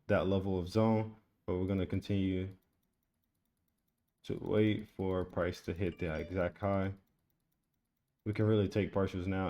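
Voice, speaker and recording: Low, flat-voiced man walking through charts. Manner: casual, repetitive chart walkthrough. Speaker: trading educator. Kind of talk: screen-share trading tutorial.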